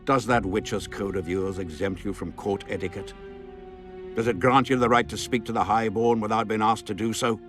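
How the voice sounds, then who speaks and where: An actor playing a haughty, irritable baron in a video game, speaking in an imperious, pompous and scornful manner. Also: male voice, on the low side, steady tone, moderate pace, close to an everyday voice. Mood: disdainful. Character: haughty, irritable male baron, contemptuous aristocrat. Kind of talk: dramatic video game acting. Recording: loud music behind the voice.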